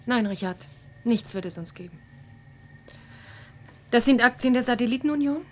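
An actress playing a professor's wife burdened by foreknowledge, measured, solemn and resolute, in a German radio play. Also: female voice, a little high, expressive, slight background noise, lightly acted. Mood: grave.

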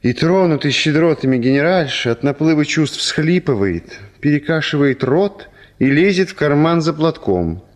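An actor narrating a literary radio play as an expressive, wry storyteller. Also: male voice, fairly high pitch, moderate pace, few pauses, close to an everyday voice.

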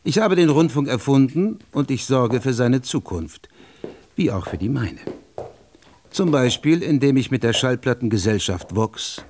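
A man's voice: medium pitch, animated, a little slow, a little mellow, slight background noise, lightly acted. Male, mid register, acting: 1920s state secretary for radio drama, formal, persuasive, sure of himself. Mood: insistent, confident.

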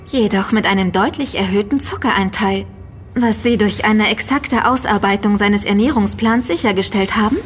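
A woman playing a sharp-witted investigator in an audio drama, speaking in an articulate, questioning way. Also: medium pitch, normal speed, faint music behind, lightly acted. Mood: attentive. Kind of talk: German audio drama dialogue.

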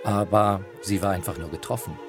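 A man's voice: low voice, steady tone, a little fast. Man presenting with music behind him. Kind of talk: anecdotal radio feature narration.

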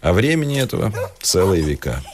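A man's voice: low voice, sing-song, brisk, noisy background. A man acting an earnest, educated city professor in a radio play, articulate and insistently questioning. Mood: probing.

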